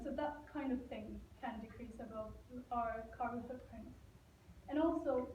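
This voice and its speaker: A woman's voice: a little high, dark tone. Young woman from Norway presenting in an echoey room. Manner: earnest, asks the audience questions, some restarts. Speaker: student presenter. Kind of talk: competition presentation to a live audience. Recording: echoey room, voice from a distance, dull lo-fi sound, faint hiss.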